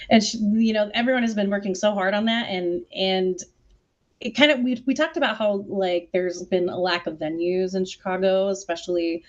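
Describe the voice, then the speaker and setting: A woman's voice: mid-range voice, moderate pace. A woman chatting casually in a panel conversation. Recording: dull, lo-fi call audio.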